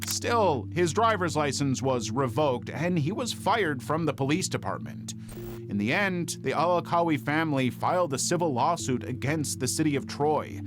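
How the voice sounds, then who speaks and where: A man reading scripted true-crime documentary narration in a dramatic, measured storytelling manner. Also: fairly high pitch, expressive. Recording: faint music bed under narration. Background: background music.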